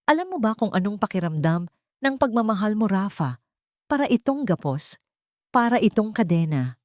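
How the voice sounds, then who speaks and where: A Filipino woman voicing a hurt, coldly resolute young wife in an audio romance, speaking in a calm, bitter, measured manner. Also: mid-range voice, dry recording, pitched above the performer's own voice, lightly acted. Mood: bitter grief.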